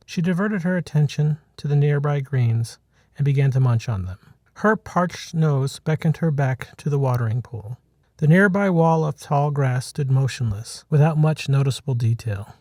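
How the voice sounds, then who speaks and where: A man reading a short story as scripted narration, in a measured, dramatic manner. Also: mid-range voice, dark tone, no echo, clean studio sound. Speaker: author reading his own short story.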